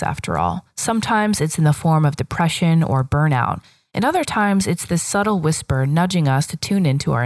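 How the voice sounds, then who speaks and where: A woman's voice: low voice, no room sound. A woman in her thirties hosting a solo podcast, telling a personal story in a reflective, scripted way. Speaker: podcast host.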